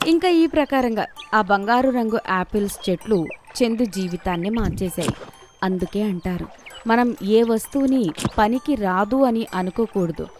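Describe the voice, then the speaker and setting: A woman's voice: mid-range voice, moderate pace, darkish tone, faint music behind. A woman storyteller reading a scripted children's moral tale.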